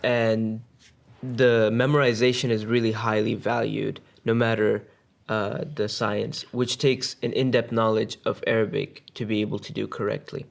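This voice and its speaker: A man with a low, gravelly voice lays out his argument step by step on a casual podcast panel. He pauses with fillers but keeps an explanatory tone. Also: flat delivery. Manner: explanatory, lecture-like. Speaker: podcast co-host.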